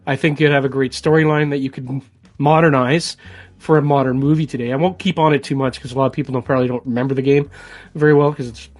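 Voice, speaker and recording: A man's voice: medium pitch, steady tone, quick pace. A man co-hosting a casual chat about video games. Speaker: podcast co-host.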